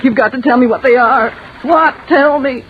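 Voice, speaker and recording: An actress plays a demanding, panic-stricken woman in vintage radio horror. Her voice is high, shrill and theatrical. The old recording is muffled, with a busy din behind her. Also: normal speed, a crowd in the background, close to an everyday voice. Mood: frantic. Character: hysterical, demanding young woman terrified of crawling creatures. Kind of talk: old-time radio horror melodrama acting.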